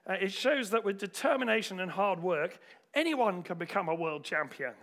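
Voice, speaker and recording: Man playing an earnest, bright-voiced interviewer. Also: high-pitched, animated, normal speed. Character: earnest sports interviewer chasing an uplifting message.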